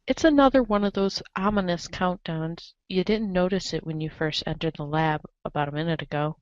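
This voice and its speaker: A woman's voice: lowish voice, fairly quick, a little mellow, no room sound. A woman reading scripted narration aloud as the narrator of a group reading. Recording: dull lo-fi audio.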